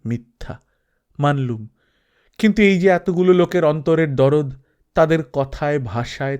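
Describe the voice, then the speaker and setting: A man narrating a literary story in a measured, reflective way. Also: medium pitch, a little mellow. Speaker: audiobook narrator.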